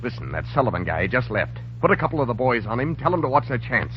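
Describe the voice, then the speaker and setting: An actor playing an evasive, scheming crook, hesitant and placating or curt, in a 1930s radio drama. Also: male voice, medium pitch, big pitch swings, quick pace, lightly acted. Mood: cold, scheming. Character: smooth-talking, evasive, scheming crooked associate of gangsters. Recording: muffled, noisy 1930s radio broadcast.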